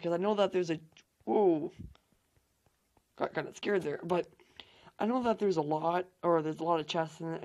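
A young man hosting a gaming channel, hoarse from losing his voice, rambling through casual gameplay commentary with fillers and swearing. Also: on the high side, a little mellow.

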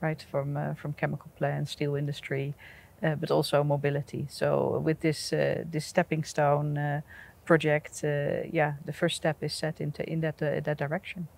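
A middle-aged woman speaking in an outdoor interview, halting with many restarts and easy laughs, on a lapel mic. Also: deep voice, monotone, creaky, darkish tone. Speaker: energy company representative. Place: outdoors at an industrial port site.

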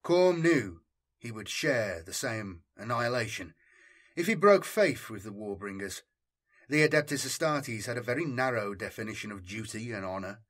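A man reads a scripted story as an audiobook narrator. His delivery is measured and deliberate, with a dramatic weight on the phrases. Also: medium pitch, a bit bright, breathy edge. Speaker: audiobook narrator and channel host.

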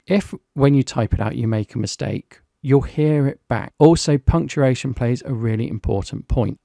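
Gravelly British man explaining software. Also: mid-range voice, no echo, studio quality. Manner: plain, explanatory, lightly chatty. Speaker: software reviewer. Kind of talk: screen-recorded software tutorial.

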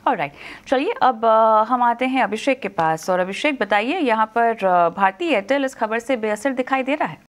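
A woman in her thirties anchoring live business news from a TV studio, brisk but with frequent fillers. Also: fairly high pitch, animated.

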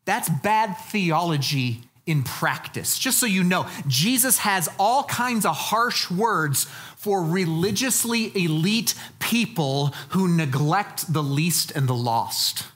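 A man's voice: high-pitched, lively intonation, takes it slowly, fairly bright tone. American man, forties, pastor, conversational sermon delivery, folksy, emphatic, repeated phrases. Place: church stage, indoors.

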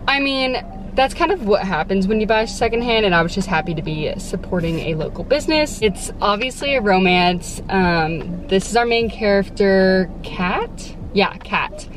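A woman's voice: mid-range voice, expressive, normal speed, slight background noise, low-quality recording. A young woman from Texas, talking chattily to her phone camera from a parked car, with lots of "like"s. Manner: chatty, bubbly, many "like"s. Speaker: book vlogger. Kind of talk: casual vlog chat to camera. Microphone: phone camera at arm's length. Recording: soft background music under voice.

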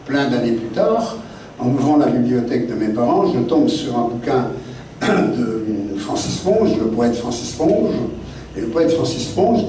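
Older man, mellow voice, digressive halting talk, roomy echo, heard from a distance. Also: mid-range voice, slight background noise. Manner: digressive, halting, many fillers and restarts. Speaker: guest author. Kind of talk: rambling spoken memoir talk. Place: literary café meeting room. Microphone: desk mic on stand, seated. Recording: very echoey room, mic at a distance.

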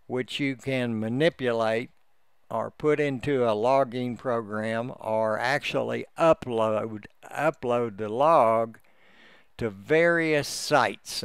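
A man giving an unscripted, step-by-step screen-share tutorial as a hobbyist, in a halting, explanatory manner. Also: medium pitch, gravelly, clean tone, no echo, clean studio sound. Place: indoors, close dry voice-over for a screen recording.

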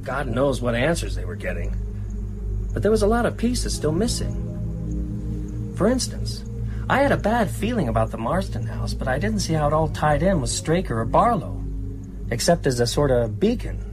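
An actor in a radio drama playing a weary man who recounts a fight against evil, reflective and halting. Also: a little high, sing-song. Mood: grave, reflective. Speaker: narrator telling his story to a priest. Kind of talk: radio drama acting, confessional narration. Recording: radio drama with music bed.

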